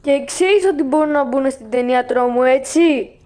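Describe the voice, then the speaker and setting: A woman voicing a nagging, urgent young character in an animated cartoon fandub. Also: sits high, clean tone, lightly acted.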